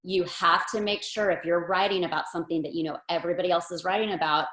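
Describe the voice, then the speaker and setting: Low-voiced woman, echoey room. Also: steady tone, fast talker, fairly bright tone. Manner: earnest, encouraging. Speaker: nonprofit founder and host. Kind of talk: webinar discussion. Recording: roomy echo.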